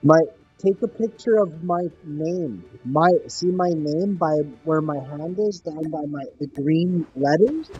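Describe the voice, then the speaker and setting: A man from Canada, a gaming YouTuber, chatting casually and upbeat with an online opponent, full of slang and repeating himself slowly. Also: fairly high pitch, darkish tone, highs cut off, faint music behind.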